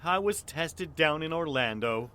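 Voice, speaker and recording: High-pitched, sheepish American neighbor. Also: male voice, animated, a little slow, pushed and bright, clean tone. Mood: nervous, confessing. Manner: hesitant, stammering, sheepish. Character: timid, apologetic, mild-mannered snowbird neighbor man. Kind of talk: audio drama acting.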